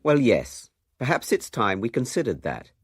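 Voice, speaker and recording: A man's voice: medium pitch, very animated, moderate pace, husky, no echo, studio quality. A man presenting a scripted radio documentary.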